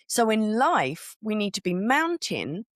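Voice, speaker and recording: A middle-aged woman hosting a spiritual podcast, with a croaky voice, talking earnestly and conversationally and stopping for little laughs. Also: medium pitch, sing-song, a little slow, no room sound, studio quality. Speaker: podcast host. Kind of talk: solo spiritual podcast talk.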